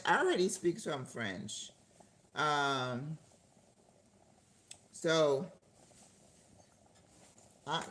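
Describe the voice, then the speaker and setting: Older woman, low voice, chatty cooking host, roomy kitchen echo. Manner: chatty, talks to viewers and laughs often. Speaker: channel host cooking live. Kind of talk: casual chat while cooking. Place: home kitchen. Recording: echoey kitchen with faint background noise.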